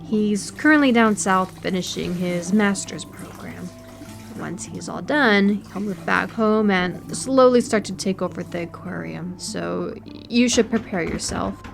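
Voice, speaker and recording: A woman in an audio roleplay playing an educated, politely condescending aquarium worker who speaks in a measured, precise way. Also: mid-range voice, gravelly, a little hiss or hum. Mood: conversational, mildly weary. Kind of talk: audio roleplay acting, one-sided conversation.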